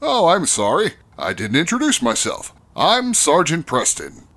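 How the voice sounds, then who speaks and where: An actor playing an upright, courteous and authoritative Mountie sergeant in a comedic radio drama. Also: male voice, fairly high pitch, huge pitch swings, a little slow, a bit bright, exaggerated voice. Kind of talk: comedic old-time radio acting.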